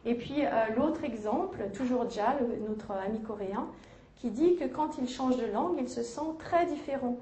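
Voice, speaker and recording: A woman's voice: a little high, animated, warm tone, slight background noise. Woman lecturing in an echoey hall. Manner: measured, with frequent hesitations and self-corrections. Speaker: university lecturer. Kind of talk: academic conference lecture.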